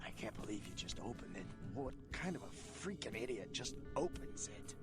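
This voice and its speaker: A smug vampire hacker from a video game, talks in a fairly low voice. He is sarcastic and distracted, often mumbling to himself. Music plays clearly behind him. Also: very animated, brisk, whispered. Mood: gloating, distracted.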